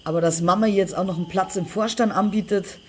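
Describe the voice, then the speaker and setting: A woman's voice: mid-range voice, fast talker, lightly acted. Bitter, scheming actress as a woman, noisy background. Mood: bitter resentment. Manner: resentful, contemptuous. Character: bitter, scheming older sister plotting against her sibling. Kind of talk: German crime audio drama acting.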